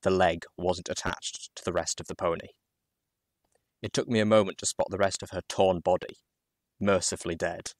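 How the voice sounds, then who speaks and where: A man with a low voice narrates a story in the first person. His delivery is dramatic and measured, with deliberate pauses between phrases. The recording is dry, with very little room sound. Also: steady tone, no echo.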